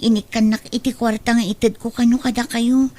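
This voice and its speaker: A soft-toned, mellow young woman's voice from an actress, slightly high, with an Ilocano accent. She plays the loyal, apologetic friend of a radio drama, gentle and deferential in manner. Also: little pitch movement, moderate pace, lightly acted. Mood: apologetic, earnest.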